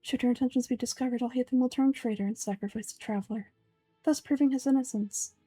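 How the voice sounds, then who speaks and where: A woman's voice: a little high, fairly even intonation, fast, dark tone, husky, no room sound, faint music behind. A woman voicing a pompous, coldly calculating old male villain from a game, speaking formally and condescendingly. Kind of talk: amateur voice-over of game dialogue.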